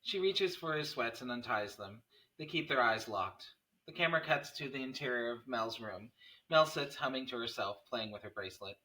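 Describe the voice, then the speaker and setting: A man with a very bright, sharp voice at middle pitch reads out stage directions and scene headings. It is scripted narration for a screenplay table read. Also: expressive, moderate pace, poor audio.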